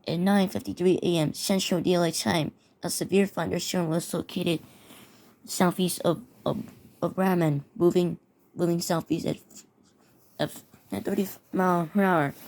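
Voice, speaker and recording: A woman's voice: lowish voice, fairly even intonation, normal speed, a little mellow, rough recording. A woman reading mock severe weather warnings aloud with her own commentary, often stumbling over and repeating words. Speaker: mock emergency alert narrator.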